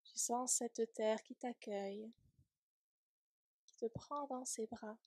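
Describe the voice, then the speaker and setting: A woman leading a guided yoga nidra relaxation in a soft, breathy, soothing voice, gently trailing off at times toward a whisper. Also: a little high, steady tone, slow, no room sound.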